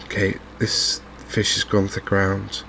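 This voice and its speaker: Softly spoken man, low and very even. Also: gravelly, a little hiss or hum, low-quality recording. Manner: calm, understated, unhurried running commentary. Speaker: gaming video host. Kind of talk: softly spoken ASMR game commentary.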